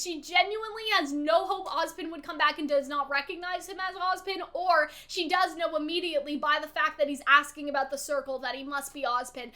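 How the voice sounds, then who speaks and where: A woman's voice: high voice, sharp tone. A woman giving casual, opinionated story commentary, emphatic and exasperated, swearing freely and often checking in with the listener. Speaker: vlogger, story analyst.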